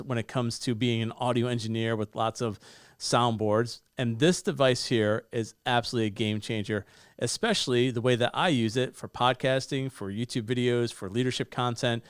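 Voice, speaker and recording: Upbeat man with a crisp studio voice. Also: mid-range voice, dry recording. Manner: upbeat, demonstrative, talks with his hands. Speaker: reviewer. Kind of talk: enthusiastic gear review. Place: home studio with bookshelves and blue lighting. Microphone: studio broadcast mic on boom arm, close.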